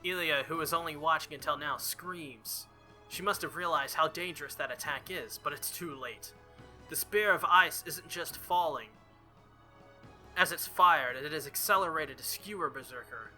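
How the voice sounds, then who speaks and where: A man's voice: a little high, normal speed, sharp tone, faint music behind. A man doing a visual novel playthrough, reading the story aloud dramatically and dropping in casual asides. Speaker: let's player.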